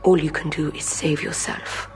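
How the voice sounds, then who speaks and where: A woman's voice: low-pitched, steady tone, natural voice. A woman playing a defiant, dying prisoner in an audio drama, speaking cryptically and taunting her interrogator. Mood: ominous. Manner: slow, cryptic, breathy whispering.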